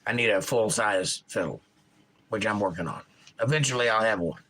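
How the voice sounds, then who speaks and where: Crisp-spoken bright man with a Georgia drawl. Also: on the low side, normal speed. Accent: Georgia, US South. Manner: enunciates crisply, animated, opinionated. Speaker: livestream host. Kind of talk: casual chat reading viewer comments.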